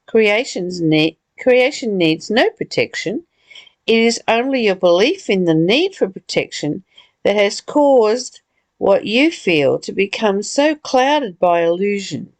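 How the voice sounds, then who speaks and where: Woman leading a spiritual book study, takes it real slow and halting, close dry sound. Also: mid-range voice, very animated, no room sound. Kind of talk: reading aloud and reflecting casually in a study discussion.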